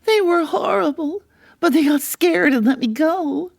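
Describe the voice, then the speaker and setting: Very high, creaky gushing bride. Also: female voice, measured pace, no room sound, studio quality. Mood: overwrought. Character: gushing, syrupy young society bride. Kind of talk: comedic melodramatic radio acting.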